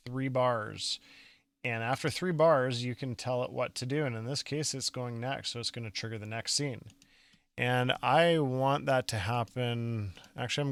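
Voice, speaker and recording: A man demonstrating music software in a casual step-by-step tutorial, explaining as he goes and checking in with the viewer. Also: mid-range voice.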